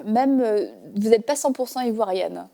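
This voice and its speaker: A woman hosting a faith talk show from a studio set, chatty and warm with laughter, using many fillers. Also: fairly high pitch, moderate pace, gravelly.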